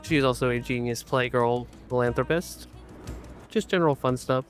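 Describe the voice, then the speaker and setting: A man's voice: medium pitch, normal speed, faint music behind. A man introducing his character as a player at a tabletop game, loose and jokey, swearing casually. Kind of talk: casual chat.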